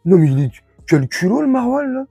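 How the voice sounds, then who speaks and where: A man from France with a husky voice, giving an informal, crude and sarcastic opinion rant to his followers as an online commentator. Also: very high-pitched, sing-song, brisk, warm tone, no room sound, soft background music. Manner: crude, slangy, sarcastic, indignant.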